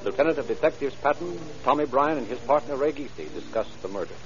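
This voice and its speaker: A man's voice: mid-range voice, very animated, fast. An actor narrating a vintage radio crime drama as a solemn man with an urgent, dramatic announcer delivery. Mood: urgent, grave. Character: solemn male narrator of a police case. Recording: dull old radio recording, music behind. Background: loud dramatic music.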